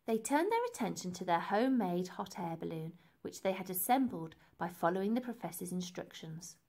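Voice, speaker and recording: A woman's voice: on the low side, sing-song, fairly quick, a little mellow. A woman reading a children's story aloud as narrator, with dramatic, emphatic phrasing. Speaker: storybook reader.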